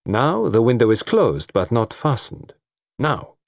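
A man's voice: mid-range voice, sing-song, measured pace, vocal fry, dry recording, clean studio sound, pitched above the performer's own voice. A man doing a fussy French-accented detective. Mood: commanding. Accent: put-on French. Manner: precise, formal, probing questions. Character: fussy, shrewd male foreign detective, middle-aged. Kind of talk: audiobook character voice.

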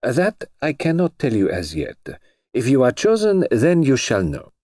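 A man voicing a brisk, mysterious foreign gentleman who speaks in crisp, clipped, formal phrases. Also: medium pitch, wildly swooping pitch, no echo, studio quality, pitched above the performer's own voice.

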